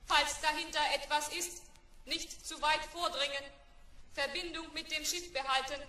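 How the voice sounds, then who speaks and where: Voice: high-pitched, steady tone, moderate pace, bright, forceful tone, echoey room, far from the mic. An actor playing an obedient, literal-minded robot in a German radio play, reporting in clipped, formal, emotionless phrases.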